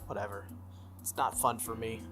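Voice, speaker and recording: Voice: mid-range voice, steady tone, moderate pace, a bit bright, voice pushed down, natural voice. A reader acts out an earnest teenage schoolboy hero from a visual novel. He is blunt and a little reluctant, puzzled and grumbling, over background music. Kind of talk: visual novel dialogue read aloud. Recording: music under the voice.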